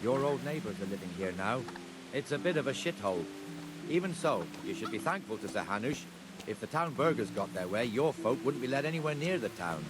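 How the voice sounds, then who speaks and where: A man's voice: mid-range voice, expressive, a little fast, over music, close to an everyday voice. A man playing a plain-spoken, matter-of-fact townsman in a cinematic game. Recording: clearly noisy outdoor ambience.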